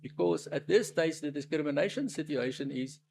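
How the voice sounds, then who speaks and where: Gravelly halting South African man. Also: a little high. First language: Afrikaans. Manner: halting, often restarting and searching for words. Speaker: attorney making a submission at a public hearing. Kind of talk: formal spoken submission.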